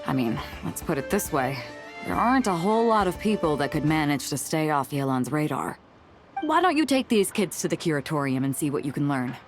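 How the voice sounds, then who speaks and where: Low-voiced, shrewd, commanding woman. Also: very animated, over music. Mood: firm, assured. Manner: cool, measured, self-assured. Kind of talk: video game character acting.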